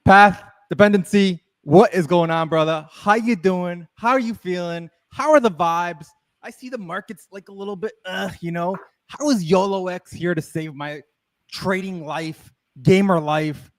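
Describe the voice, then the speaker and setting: Florida-based host, man, high voice, slangy and laid-back. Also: measured pace. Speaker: podcast host. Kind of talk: casual podcast interview.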